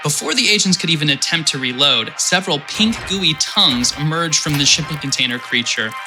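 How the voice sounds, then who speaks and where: A man's voice: on the high side, expressive, brisk, music in the background. A man narrating an audio drama in vivid storytelling style with comic asides.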